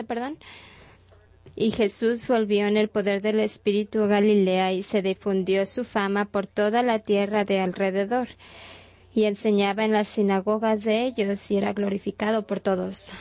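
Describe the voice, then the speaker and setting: A woman's voice: mid-range voice, darkish tone, no room sound. A woman delivering a sermon in Spanish translation, talking in a conversational storytelling way with frequent restarts.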